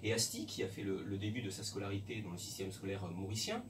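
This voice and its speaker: A middle-aged French man, a school principal, speaking in a radio interview in a measured way, often pausing and restarting. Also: fairly low pitch, steady tone. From France. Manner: measured, hesitant with many restarts. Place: radio studio. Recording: distant mic with faint background noise.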